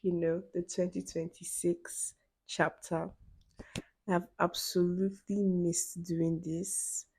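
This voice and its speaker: A young woman, hosting her own podcast in a relaxed solo chat, full of "you know" fillers and quick laughs. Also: fairly low pitch, vocal fry, slightly rough. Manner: chatty, many "you know" fillers, laughs between phrases. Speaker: podcast host.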